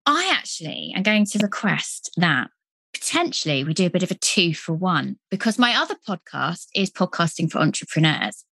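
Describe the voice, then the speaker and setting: A woman hosting a podcast interview, upbeat and playful with quick affirmations. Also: fairly low pitch, sing-song, gravelly, sharp tone, husky, dry recording. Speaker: podcast host. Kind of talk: casual interview talk.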